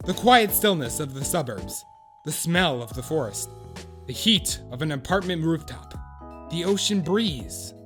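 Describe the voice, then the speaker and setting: A man playing an earnest, excitable narrator of a comic fan drama, theatrical and mock-solemn in his delivery. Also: fairly high pitch, measured pace. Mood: solemn, hushed awe. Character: earnest, excitable male narrator of an awkward would-be friend. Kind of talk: dramatic second-person scripted narration with comic profanity. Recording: music under the voice. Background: background music.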